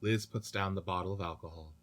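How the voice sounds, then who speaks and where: A man's voice: sits low, fairly even intonation, quick pace, fairly bright tone. A man narrating stage directions in a scripted radio play reading.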